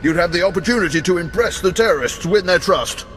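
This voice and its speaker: An actor playing a calculating male spymaster who gives a measured, dry briefing in a game cutscene. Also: sits high, expressive, fairly bright tone, faint music behind. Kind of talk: video game cutscene acting.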